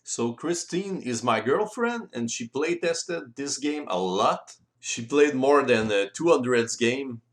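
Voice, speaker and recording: Male game-rules presenter, native French speaker with French accent, explanatory delivery, slightly halting phrasing, mid-range voice. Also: medium pitch, lively intonation. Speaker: board game designer and presenter. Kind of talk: explanatory tutorial voice-over.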